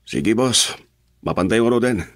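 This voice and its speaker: An actor playing an obliging, cheeky sidekick in an Ilocano radio drama, casual in manner. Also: male voice, on the low side, animated, a little slow, no echo. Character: cheeky, obedient male henchman who loves cigarettes and coffee.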